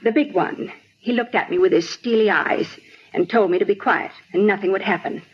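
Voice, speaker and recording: A woman's voice: medium pitch, normal speed, natural voice. A woman playing a fussy, chatty older bank clerk who rambles indignantly, in a 1950s radio drama. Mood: shaken, rambling. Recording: muffled 1950s radio recording, faint hiss.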